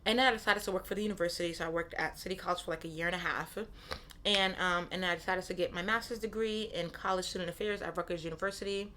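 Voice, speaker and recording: Candid low-voiced woman podcaster. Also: steady tone, fast talker, bright, forceful tone, slight background noise. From born in Nigeria, raised in Brooklyn, New York. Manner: candid, repeats phrases, frequent "right?" asides. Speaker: podcast host. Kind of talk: casual solo podcast talk.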